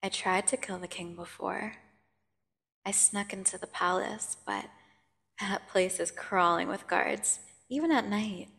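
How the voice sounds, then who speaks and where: A woman playing a menacing, seductive vampire prisoner in an ASMR audio drama, taunting and condescending with theatrical pauses. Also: mid-range voice, gravelly, a bit bright. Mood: gloating menace. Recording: long cavernous reverb.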